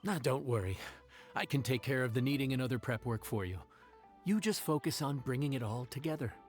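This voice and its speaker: A man playing a confident, worldly cook in a video game, wry and measured as he explains, with music behind. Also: mid-range voice, a little mellow, close to an everyday voice. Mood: reassuring. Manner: measured, explanatory, self-assured.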